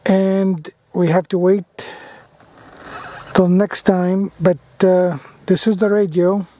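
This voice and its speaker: High-pitched man, enthusiastic radio hobbyist vlogging. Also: flat delivery, slow, mellow. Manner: enthusiastic, repeats phrases. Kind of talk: casual product demo and radio operating.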